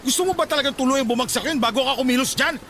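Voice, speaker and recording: Stern, fast-talking Filipino father. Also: extremely high pitch. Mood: scolding. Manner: scolding, commanding, urgent. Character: stern, harried father of a poor family. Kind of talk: Filipino radio drama acting.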